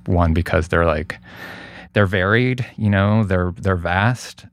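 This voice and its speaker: A man with a low voice full of vocal fry, recorded dry and close. He talks casually and thoughtfully as a guest, pausing and rephrasing as he hedges his way through an answer. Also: dry recording. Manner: thoughtful, hedging, halting delivery. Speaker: guest, radio producer. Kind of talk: casual interview conversation.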